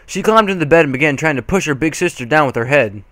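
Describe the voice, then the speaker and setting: Man, higher-pitched clear voice, close dry sound, amateur dramatic reading, stumbles over words. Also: animated, fast, a bit bright, dry recording. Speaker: amateur reader. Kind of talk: dramatic story reading with casual asides.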